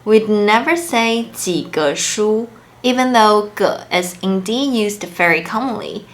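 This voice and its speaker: A woman's voice: medium pitch, animated, takes it slowly. Upbeat young woman teaching language. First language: Chinese. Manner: upbeat, clear, easily impressed. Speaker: language teacher and channel host. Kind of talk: explaining a tool in a lesson video.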